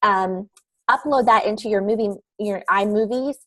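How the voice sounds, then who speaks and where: A woman's voice: medium pitch, normal speed, a bit bright. A woman in a video call, explaining how to start a podcast in a rambling, casual way, often searching for words. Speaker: podcast guest speaker. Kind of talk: casual how-to chat in an online meeting.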